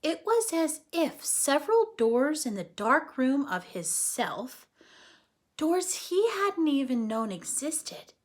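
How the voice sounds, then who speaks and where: Animated, high-voiced woman reading a story aloud. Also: slow. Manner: expressive, explains simply, often checks in with listeners. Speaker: teacher reading aloud to children. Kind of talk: animated read-aloud storytelling with explanatory asides.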